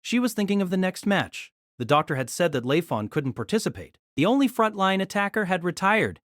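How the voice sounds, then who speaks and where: Animated woman narrating a novel. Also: on the low side, fairly quick, no echo, studio quality.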